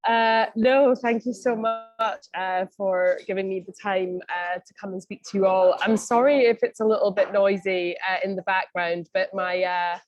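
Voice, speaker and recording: A woman's voice: mid-range voice, steady tone, moderate pace, hardly any pauses, dry recording, lo-fi sound. Scottish woman talking through a video call. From Scotland. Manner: chatty, many ums and restarts. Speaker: guest speaker, senior advisor. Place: cafe.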